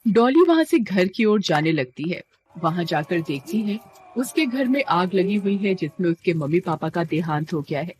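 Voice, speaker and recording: A woman's voice: fairly low pitch, sing-song, normal speed, a little mellow, a little hiss or hum. A woman narrating a scripted moral story as a storyteller.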